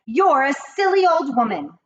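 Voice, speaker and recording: A woman doing a shrill snobbish lady. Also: big pitch swings, a little slow. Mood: haughty. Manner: shrieking, haughty. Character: shrill snobbish woman, hotel guest, terrified of mice. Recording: dull lo-fi with faint hiss.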